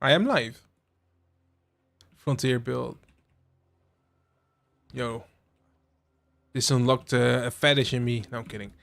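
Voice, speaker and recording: A young man from the Netherlands hosting a relaxed game livestream, rambling with lots of fillers and asides to his chat. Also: mid-range voice, slow pace, creaky, long pauses. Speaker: livestream host. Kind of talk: casual live-stream chat while playing a game.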